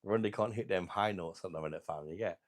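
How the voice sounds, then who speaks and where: Deep-voiced man with a sore throat. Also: fast talker. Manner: rambling, laid-back, chuckling between remarks. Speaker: beer review channel host. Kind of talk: casual livestream chat reading viewer comments.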